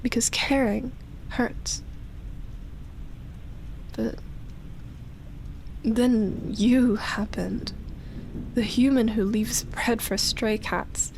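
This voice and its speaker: Woman playing a lonely immortal vampire lady, obsessive and tender, slow soft delivery, breathy whispers, ASMR roleplay, noisy ambient background. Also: on the high side, steady tone. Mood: melancholy, confiding. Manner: soft, breathy sighs, slipping into whispers, lingering pauses. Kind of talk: roleplay ASMR, intimate monologue.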